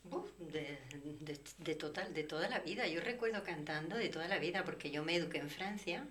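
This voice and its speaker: A woman singer, guest in an interview, explaining her art at length in a relaxed, reflective way. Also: lowish voice, brisk, slight background noise. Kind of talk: relaxed conversation.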